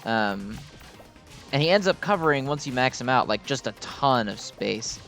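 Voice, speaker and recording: Male gamer, medium pitch, chatty and enthusiastic fan commentary, loosely rambling, game music underneath. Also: expressive, moderate pace, vocal fry, fairly bright tone. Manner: rambling, enthusiastic fan talk with mild swearing. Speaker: gamer commenting on own gameplay. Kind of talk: casual gameplay commentary.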